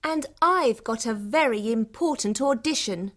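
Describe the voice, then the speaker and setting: Arrogant female rival for audiobook dialogue, slightly high, slow, indignant delivery. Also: expressive, fairly bright tone. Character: arrogant, argumentative tall blonde woman.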